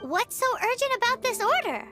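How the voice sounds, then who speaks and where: Squeaky, very high childlike fairy sidekick. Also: female voice, very animated, normal speed, bright, forceful tone, faint music behind, full character voice. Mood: matter-of-fact. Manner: refers to self by name, blunt, perky. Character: tiny childlike female fairy companion, chirpy, cheeky, blunt. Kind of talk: animated game dialogue acting.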